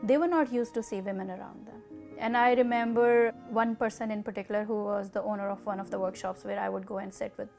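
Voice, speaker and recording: A middle-aged woman with a Pakistani accent speaks in a mid-pitched voice with audible creak. She recounts personal experience in a measured, deliberate interview style, over faint music. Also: a little mellow. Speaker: artist interviewee.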